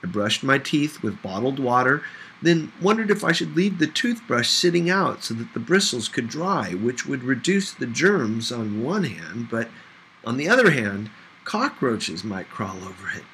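A man reading a novel aloud as expressive scripted narration. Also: medium pitch, a little slow, a little hiss or hum, low-quality recording. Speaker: audiobook reader.

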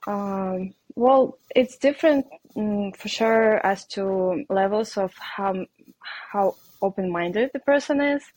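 A woman's voice: medium pitch, slow, darkish tone, no room sound, rough recording. A woman from Russia with a Russian accent, speaking English as a guest coach in an interview, hesitating often with ums. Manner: hesitant, many ums, laughs lightly. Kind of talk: casual interview answers.

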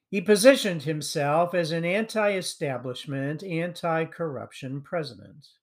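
Male podcast preacher, slightly high voice, slow and measured, reading a prepared sermon.

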